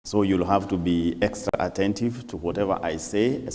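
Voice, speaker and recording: A man with a Kenyan accent, English his third language, speaking as a visiting priest to a congregation in a measured, deliberate way with pauses. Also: low-pitched, background noise. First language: not English; English is his third language. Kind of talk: church talk to a congregation. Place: church hall. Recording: long church reverb.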